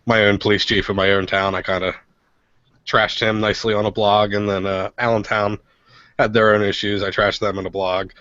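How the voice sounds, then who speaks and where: An American man co-hosting a casual radio show, talking haltingly and repeating words as he starts. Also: on the low side, little pitch movement, pushed and bright, dry recording. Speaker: radio show co-host and activist blogger. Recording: dull, lo-fi audio.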